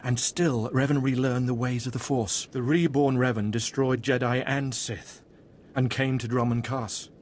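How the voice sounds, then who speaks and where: A man playing a devout, guarded cult mentor in a video game, speaking in a formal, reverent, measured way. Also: medium pitch, steady tone, darkish tone, a little hiss or hum, close to an everyday voice. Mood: solemn, reverent. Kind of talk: scripted video game voice acting.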